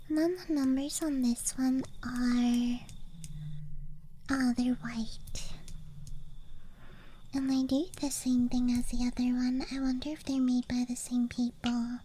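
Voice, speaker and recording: Soft breathy woman whispering asmr, real slow n mellow, faint night ambience behind her. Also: on the high side. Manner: soft, breathy, unhurried whispering, murmuring to the listener. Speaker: ASMR host. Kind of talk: whispered ASMR show-and-tell. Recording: faint background noise, outdoor night ambience. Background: faint rural night ambience sounds.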